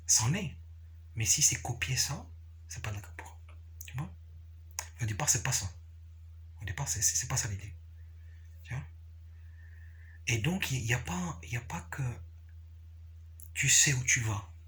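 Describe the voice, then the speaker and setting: A man giving a casual, unscripted explanation, repeating himself haltingly and often checking that the listener follows. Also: mid-range voice, lively intonation, sharp tone. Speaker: capoeira practitioner sharing views.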